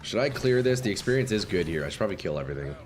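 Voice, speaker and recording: A man streaming a game live, chatting casually with viewers, swearing and reacting loudly, his voice going a little hoarse. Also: on the low side, animated, rapid-fire. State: slightly hoarse, losing voice. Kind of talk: casual live-stream chat while playing. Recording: faint game music behind.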